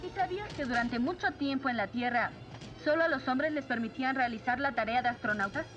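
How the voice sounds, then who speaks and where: A woman plays a proud young guard captain with a high, spirited voice. She speaks Latin American Spanish with confidence, as cartoon dub acting, over clearly audible music. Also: brisk, natural voice. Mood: admiring.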